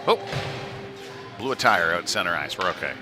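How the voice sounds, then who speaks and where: A man doing live play-by-play of a youth hockey game, talking in a halting, conversational way with folksy slang. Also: low voice, expressive, over music. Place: indoor ice rink during a live game. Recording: noisy rink, clear background ambience. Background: rink noise and game ambience.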